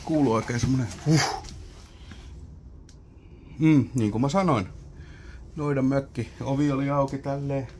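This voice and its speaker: A man's voice: medium pitch, steady tone, pauses often, a little mellow. A man exploring an abandoned wooden cabin, wondering aloud at old objects in casual vlog commentary, recorded on a handheld camera. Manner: muttering, wondering aloud while poking around.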